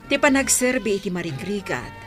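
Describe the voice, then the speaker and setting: A woman's voice: medium pitch, big pitch swings, brisk, close to an everyday voice. Supportive mother over swelling music played by a woman. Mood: approving. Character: supportive, devout mother of a grown daughter. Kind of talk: radio drama acting. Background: prominent music.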